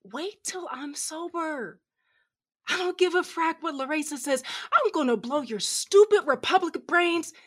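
An actress playing a hot-headed, drunken cyborg fighter, narrating in first person with slurred, sardonic defiance and foul-mouthed bite. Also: female voice, high voice, lively intonation, unhurried, a bit bright. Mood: drunken rage.

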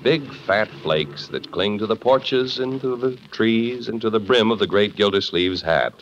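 A man's voice: on the low side, measured pace. A man announcing and narrating from a script in polished, dramatic 1950s radio style. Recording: muffled, noisy vintage 1950s radio recording.

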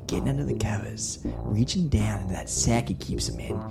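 A gruff, sardonic space bounty hunter played by a man speaks in a low voice with dramatic weight. It is sci-fi audio drama, with music loud beneath every line. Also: a little fast. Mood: accusing.

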